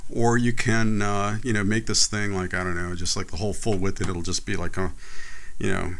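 A man hosting a casual software tutorial, talking through a screen recording in a relaxed, chatty way with many fillers. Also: lowish voice, brisk, gravelly. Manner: relaxed, chatty, frequent "uh" and "you know".

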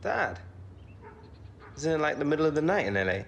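An actor playing a cheeky, keen young footballer in a game cutscene, with a London accent and informal slang. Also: male voice, on the high side, big pitch swings, brisk, vocal fry, muffled recording, a little hiss or hum. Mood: casual, unsure. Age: young adult. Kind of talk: naturalistic video game cutscene acting.

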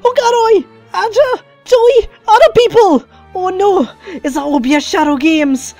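A performer voicing an excitable teenage schoolboy duelist with a Trinidadian accent in an animated, dramatic parody anime dub. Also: very high-pitched, unhurried, faint music behind. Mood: alarmed.

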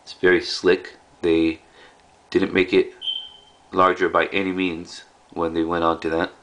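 A man casually showing items as a video host, speaking in halting, offhand short phrases. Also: low voice, monotone, fairly bright tone. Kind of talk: casual product showcase. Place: indoors in a room at home. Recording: clearly noisy home recording.

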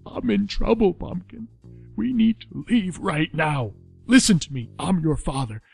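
A man playing a frightened middle-aged sheriff and father in a radio play, pleading in a hoarse, strained voice. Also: high-pitched, unhurried, a little mellow, faint music behind, full character voice. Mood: urgent pleading. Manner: desperate, pleading.

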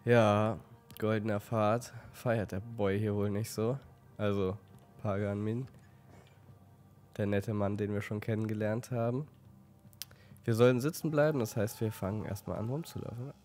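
A man with a slight cold giving relaxed let's play commentary, stumbling now and then while reading game text aloud. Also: fairly low pitch, measured pace, faint music behind. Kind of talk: casual gameplay commentary.

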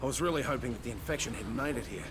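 A man's voice: expressive, rapid-fire, lightly acted. A man voicing an urgent, blunt, impatient survivor in a zombie outbreak video game. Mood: worried, puzzled. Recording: noisy game audio with engine rumble.

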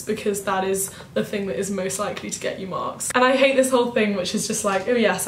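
Chatty young woman, slightly croaky. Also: mid-range voice, reverberant, a little hiss or hum. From United Kingdom. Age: young adult. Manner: chatty, emphatic, acts out quoted excuses. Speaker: student vlogger. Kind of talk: casual advice vlog. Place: bedroom at home, indoors.

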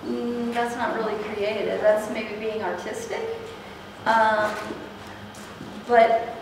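A woman's voice: on the high side, little pitch movement, slow. A middle-aged woman leading an interactive workshop, speaking with frequent ums and checking in with the room. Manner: upbeat, many ums, asks the room questions. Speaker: workshop instructor. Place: large meeting room with projector. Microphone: far camera, no mic. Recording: echoey room, distant, noisy.

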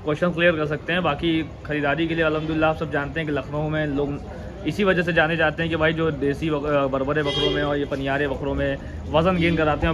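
A man talks fast and eagerly, his voice sitting a bit high for a man. He keeps cutting in with praise as he hosts outdoors on a night street. The sound comes from a handheld phone. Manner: enthusiastic, interjects praise often. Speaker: channel host. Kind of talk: casual goat showcase chat.

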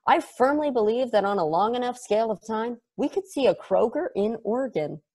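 A young man reading a rambling, excitable probe. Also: very high voice, a little fast, full character voice. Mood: chatty. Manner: enthusiastic, rambling, tangent-prone. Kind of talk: video-call table read. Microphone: video call.

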